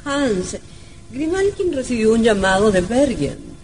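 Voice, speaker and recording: An actress playing an affectionate, agreeable young wife in an old radio drama. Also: female voice, fairly high pitch, big pitch swings, measured pace, darkish tone. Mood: informative. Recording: noisy old radio recording.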